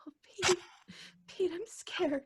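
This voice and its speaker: A woman's voice: very high-pitched, takes it slowly, slight background noise. An actress playing a frightened, hysterical young woman in an old-time radio drama, pleading and stammering in panic. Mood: scared, pleading.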